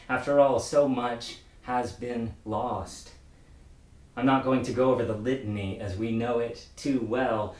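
A middle-aged man, a pastor, delivers a prepared sermon in a measured, reflective way. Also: medium pitch, darkish tone. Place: book-lined room indoors. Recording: roomy echo, distant microphone.

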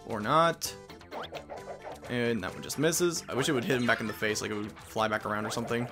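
A man's voice: medium pitch, fairly quick. A man casually commenting on a video game as he plays, rambling with jokey asides. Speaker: let's play host. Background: game music.

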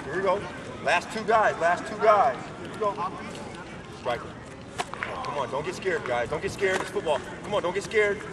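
A man's voice: high-pitched, lively intonation, normal speed, heavy background noise. A man coaching young football players outdoors with short, encouraging commands, heard from a distance. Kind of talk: coaching drills on a field. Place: outdoor football field at a camp.

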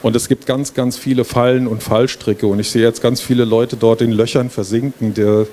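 Middle-aged guy explaining a project on stage, informal, medium pitch, bit of fair hall noise behind. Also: little pitch movement, normal speed, dark tone. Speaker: university professor presenting a project. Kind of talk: conference presentation, explanatory and informal. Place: stage in a book fair hall before a small audience. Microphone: handheld microphone.

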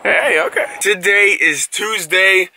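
A man's voice: sits high, slow, gravelly, pushed and bright. A young man chatting casually to a handheld camera in a playful, slangy, sweary way, as a vlogger. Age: young adult. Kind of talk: casual vlog chat. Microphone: handheld camera at arm's length. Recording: noisy handheld recording, music behind.

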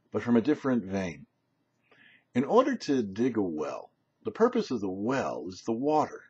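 An older man giving a short religious teaching as a rabbi, emphatic, with rhetorical questions and pauses. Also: medium pitch, husky. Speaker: rabbi and host of a weekly Torah show. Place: small home office, indoors. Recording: dull, lo-fi webcam sound.

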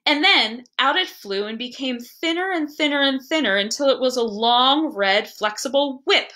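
Female story narrator: higher-pitched, bright, expressive, deliberate literary reading. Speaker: librarian reading a story aloud.

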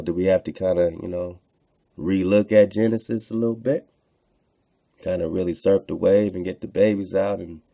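A man's voice: deep voice, gravelly, mellow. A man hosting online radio, commenting casually on texts he reads aloud, repeating phrases for emphasis and addressing listeners in slang.

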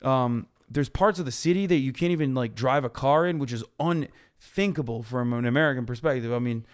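A man in his thirties from Turkey, a podcast guest ranting about politics in a blunt, profane way in a studio on a boom mic. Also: clean tone. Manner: blunt, profane, rapid explaining. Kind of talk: heated political chat. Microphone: boom mic on stand.

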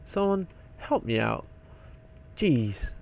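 Young man with a croaky, gravelly voice. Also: medium pitch, very animated, takes it slowly, a little mellow, a little hiss or hum. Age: young adult. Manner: rambling, swears often, mutters to himself. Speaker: game video maker. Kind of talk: casual gameplay commentary.

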